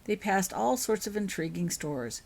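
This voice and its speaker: A woman's voice: mid-range voice, expressive, unhurried, gravelly, a little mellow. A woman reading her own fiction aloud as scripted storybook narration.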